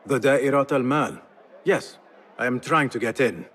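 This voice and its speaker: An actor playing a young former street thief turned assassin in a video game, speaking in an earnest, measured, courteous way. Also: male voice, medium pitch, a bit bright, husky. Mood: calm, secretive. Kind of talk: video game character acting.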